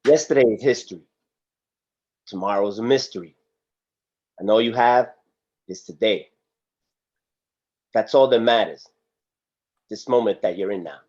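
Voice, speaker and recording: Muffled call audio, man acting as solemn prison guard, low husky voice, preachy, deliberate. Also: darkish tone, natural voice. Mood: solemn, admiring. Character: stern, philosophical male prison guard, grudgingly admiring. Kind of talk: script table read. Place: video call.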